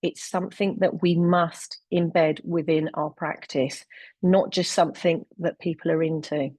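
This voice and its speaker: A woman with a London accent walks through her slides in an online webinar, earnest and a little halting, with plenty of ums. Her voice sits on the low side. The recording is close and dry, with no room sound. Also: fairly even intonation, darkish tone, no room sound. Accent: London English. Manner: earnest, with frequent ums and uhs and slide cues. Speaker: deputy director presenting a webinar. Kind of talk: webinar presentation.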